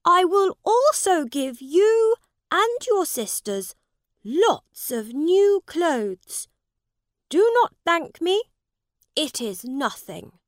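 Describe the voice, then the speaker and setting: A woman voicing a lordly, eager young English prince in a storybook, speaking emphatically with drawn-out words. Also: very high voice, sing-song, no room sound, clean studio sound, pitched above the performer's own voice, full character voice. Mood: lordly, generous. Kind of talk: storybook character voice.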